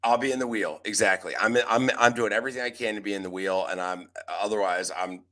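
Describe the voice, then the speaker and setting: A man who is a stand-up comedian co-hosting a casual recap, riffing emphatically and with exasperation, with a big loud voice. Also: lowish voice, quick pace. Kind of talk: casual joking recap chat.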